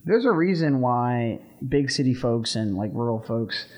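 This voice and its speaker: A man's voice: medium pitch, a little slow. Young man, easygoing Texan drawl. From Texas. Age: young adult. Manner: relaxed, rambling with many "like" fillers. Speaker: college radio co-host. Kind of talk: casual chat.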